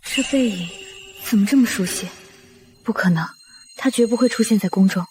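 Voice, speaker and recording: A woman's voice: mid-range voice, normal speed, darkish tone, soft background music, natural voice. An actress playing a dignified, steadfast young woman general in a historical drama, speaking formally and earnestly. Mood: longing. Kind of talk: historical short-drama acting.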